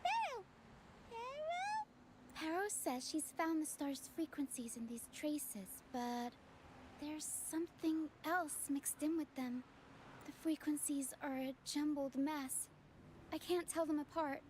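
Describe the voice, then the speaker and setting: High-pitched, expressive adventurer girl. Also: slow pace, slight background noise. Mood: excited, then curious. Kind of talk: video game voice acting. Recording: game music under the voice.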